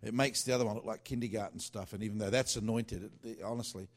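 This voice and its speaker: Chatty man with a lowish voice. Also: fairly even intonation, quick pace. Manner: loose and chatty, with many fillers and restarts. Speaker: worship singer-songwriter. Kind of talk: casual rambling talk.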